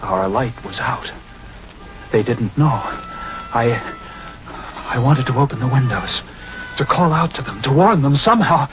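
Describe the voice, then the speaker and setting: An actor playing a haunted lighthouse keeper in an old-time radio horror monologue, brooding and suspenseful, with dramatic pauses. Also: male voice, mid-range voice, lively intonation, close to an everyday voice. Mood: hushed suspense. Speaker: actor narrating in character. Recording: dull vintage radio recording, music underneath. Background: prominent ambient music.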